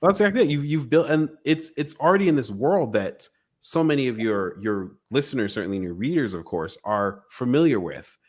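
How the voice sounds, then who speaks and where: A man's voice: medium pitch, lively intonation, a little fast. A man hosting a podcast, speaking in a casual, eager and curious way, often circling back over his phrases as he frames a question. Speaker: podcast host. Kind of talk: casual conversational interviewing.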